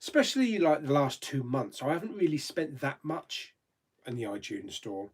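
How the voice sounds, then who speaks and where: A man's voice: mid-range voice, big pitch swings, moderate pace, slightly rough. A man hosting a casual live chat, rambling and reading out viewer comments, laughing easily and swearing mildly.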